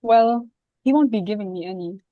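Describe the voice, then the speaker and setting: A woman's voice: medium pitch, normal speed, no echo, close to an everyday voice. A woman playing a timid, reluctant young society daughter in a dramatic reading of a stage play, plainly spoken, on a muffled recording. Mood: reluctant, protesting. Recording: dull, muffled, dry sound.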